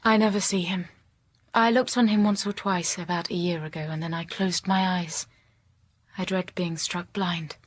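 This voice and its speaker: An actress playing a reserved, melancholy and intense young teacher in a period drama, speaking in formal, measured, hushed phrases. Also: female voice, fairly low pitch, fairly even intonation, no echo, natural voice. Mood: restrained sorrow. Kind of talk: period literary audio drama acting and narration.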